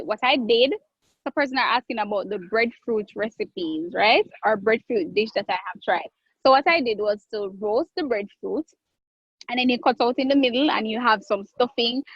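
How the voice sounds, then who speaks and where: A Jamaican woman chef answering viewer questions in a live online cooking class, chatty with drawn-out phrases and restarts. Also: a little high, slightly rough, no room sound, lo-fi sound. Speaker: guest chef.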